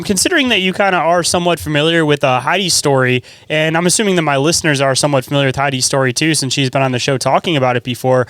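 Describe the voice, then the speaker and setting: A man's voice: fairly high pitch, fairly bright tone, no room sound. A man hosting a casual interview podcast, asking informal, roundabout questions in a hesitant way. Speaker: podcast host.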